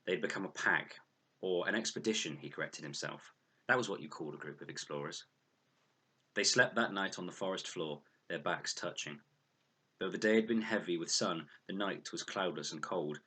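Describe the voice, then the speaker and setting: Low-voiced man reading an adventure story. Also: normal speed, bright, forceful tone, slightly rough. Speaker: audiobook reader. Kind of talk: expressive scripted storybook narration.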